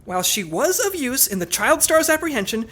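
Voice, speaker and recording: A woman's voice: sits high, big pitch swings, brisk, fairly bright tone, a little hiss or hum. A woman playing a commanding, melodramatic spy team leader in a comedic audio drama, with a declamatory manner. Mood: urgent, dramatic.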